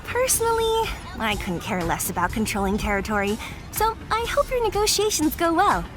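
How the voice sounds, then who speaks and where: A woman's voice: high-pitched, wildly swooping pitch, moderate pace, over music. A woman playing a perky, outgoing female monster ally in a video game, bubbly and theatrical. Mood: breezy, upbeat. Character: perky, outgoing female Digimon, a helpful moderate Titan. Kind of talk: English video game voice acting.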